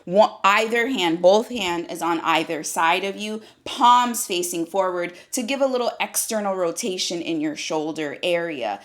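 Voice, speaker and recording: A woman's voice: fairly low pitch, normal speed, few pauses. A woman in her thirties, a yoga teacher, talking casually and candidly to camera from a seat indoors, with loose phrasing and mild swearing. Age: 30s. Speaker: yoga teacher and fitness vlogger. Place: seated indoors.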